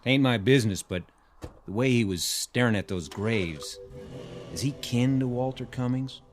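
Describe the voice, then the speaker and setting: An actor playing a steady, plain-spoken small-town sheriff in a radio drama, measured and folksy. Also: male voice, medium pitch, over music, natural voice. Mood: advising.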